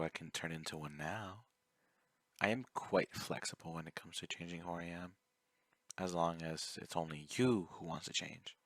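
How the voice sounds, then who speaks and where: Casting: male actor as obsessive yandere stalker for ASMR roleplay; low, close and dry, coaxing, politely menacing. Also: a bit bright, no echo, exaggerated voice. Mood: longing, veiled threat. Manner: intimate, coaxing, courteous while threatening. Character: obsessive, clingy, soft-spoken young male yandere fan, politely menacing.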